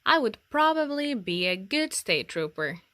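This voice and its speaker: Eager, questioning storybook girl, played by a woman reader, earnest, bright-eyed, measured in pace with a dry, close sound. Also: a little high, big pitch swings, dry recording. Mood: musing, hopeful. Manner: earnest, inquisitive. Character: eager, curious young girl narrating storybook, admiring police.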